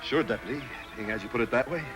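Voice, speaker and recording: An actor playing a rough, mocking townsman in a TV Western, with an American accent. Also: mid-range voice, quick pace, loud music behind the voice, lightly acted, low-quality recording. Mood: grudging. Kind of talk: 1950s TV Western acting.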